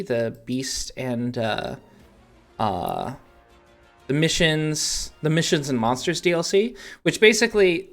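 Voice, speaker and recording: Podcast host, mid-pitched voice, just chatting casually about games. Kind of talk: casual video game podcast chat.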